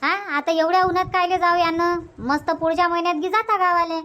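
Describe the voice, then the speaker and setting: A woman's voice: very high-pitched, steady tone, fairly quick, bright, forceful tone. A woman voicing a grumbling, talkative village grandmother in a cartoon comedy, with a Varhadi Marathi accent, on a lo-fi recording. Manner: chatty, complaining, repetitive. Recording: dull, lo-fi, faint noise.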